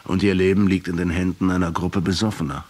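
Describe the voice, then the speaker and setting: A man playing a pensive middle-aged historian who narrates a radio drama in a husky, reflective, measured way. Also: low-pitched, level tone, darkish tone. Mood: quiet, earnest. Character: pensive 39-year-old male historian questioning a captive.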